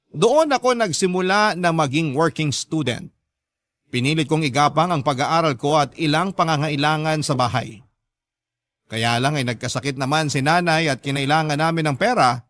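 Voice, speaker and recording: Male Filipino radio drama narrator, slightly high voice, scripted first-person storytelling, close and dry. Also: fairly bright tone, no room sound.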